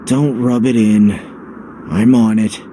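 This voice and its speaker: An actor playing a young game hero who shifts between earnest love declamations and dry deadpan. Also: male voice, on the low side, slow, slight background noise. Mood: dry, reluctant. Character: young male hero reciting theatrical love speeches, reluctantly wry. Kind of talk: video game cutscene acting.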